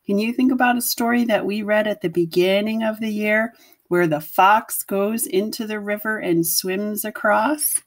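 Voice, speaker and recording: A woman's voice: mid-range voice, moderate pace. A woman teacher reading a picture book aloud to children, explaining things simply and putting questions to her listeners.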